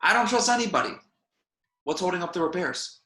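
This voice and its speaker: A man's voice: high-pitched, big pitch swings, a little fast, pushed and bright, reverberant, exaggerated voice. A man reading the part of a weary, brusque spaceship captain in an amateur script table read, terse and offhand. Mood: impatient.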